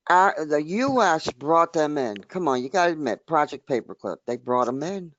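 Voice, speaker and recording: Older guy, kinda high voice, stops and restarts a lot, dry muffled recording. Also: lively intonation, fairly bright tone. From United States, near Washington D.C. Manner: halting and emphatic, with many restarts. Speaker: open mic speaker. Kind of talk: casual group discussion.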